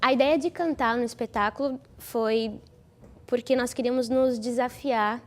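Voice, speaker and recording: A woman's voice: on the high side, fairly even intonation, moderate pace. A woman, an actress explaining creative choices in an interview. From Brazil. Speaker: stage actress.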